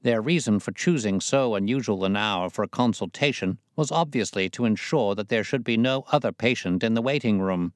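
A man's voice: on the low side, expressive, a little fast, hardly any pauses, dry recording, studio quality, lightly acted. A man voicing a shrewd, self-assured detective in an audiobook, reasoning in a formal, incisive manner. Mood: confident reasoning. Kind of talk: audiobook character voice.

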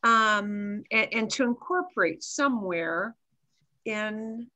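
A woman's voice: mid-range voice, expressive, slow, sharp tone. A woman in her sixties teaching a Spanish class over a video call on a headset mic, switching between Spanish and English and explaining patiently with small asides.

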